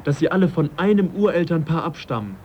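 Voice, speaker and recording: An actor playing a modest, sceptical young English naturalist in a German radio drama, speaking in a reflective, politely questioning way. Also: male voice, a little high, animated, fast, lightly acted. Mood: thoughtful. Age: young adult. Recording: dull, faintly noisy radio drama recording.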